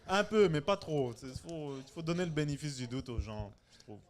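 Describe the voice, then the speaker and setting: A man's voice: a little high, very animated, moderate pace. A man in his thirties, originally from Senegal, talking as a comedian guest on a live podcast. He jokes, laughs often and restarts his sentences. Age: 30s. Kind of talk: casual live podcast chat. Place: live venue before a stand-up show.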